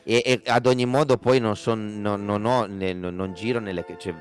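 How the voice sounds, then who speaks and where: A man's voice: lowish voice, fairly even intonation, normal speed, faint music behind, lightly acted. A man playing a grumbling, freeloading duke with a Piedmontese accent, rambling and blunt in an improvised comedy. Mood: rambling, smug. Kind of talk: improvised costume radio comedy.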